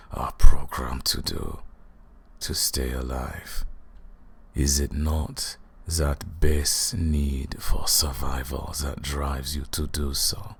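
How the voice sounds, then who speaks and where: Cruel smug vampire lord, asmr roleplay, foreign accent, super slow and gravelly, mocking and menacing. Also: male voice, fairly bright tone, full character voice. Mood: mocking, indignant. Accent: put-on foreign accent. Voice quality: gravelly, husky, breathy deep voice. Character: arrogant, cruel male vampire lord taunting a captive.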